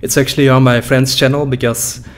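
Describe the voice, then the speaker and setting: A man's voice: mid-range voice, level tone, fairly quick, slight background noise. Joking Flemish man reacting to old videos. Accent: Dutch-speaking Belgian. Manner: laughing, joking, loose and profane. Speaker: YouTuber. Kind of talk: reaction commentary. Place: small room indoors. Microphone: desk boom mic with headphones.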